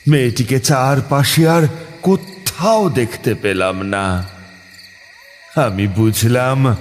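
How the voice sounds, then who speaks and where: Man voicing a slow, grave tantric ghost-story teller. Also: mid-range voice. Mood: shaken, awed. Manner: slow, suspenseful, deliberate pauses. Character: grave male tantric priest recounting his own ghost encounter. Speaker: narrator and lead character. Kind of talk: dramatic scripted horror narration. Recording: long reverb, music bed behind. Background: clear background music.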